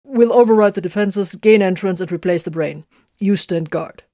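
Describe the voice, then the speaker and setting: A woman's voice: mid-range voice, moderate pace, warm tone, no echo, clean studio sound, natural voice. Woman doing a proud, pompous space marine officer. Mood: grandiose. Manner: grandiose, testy.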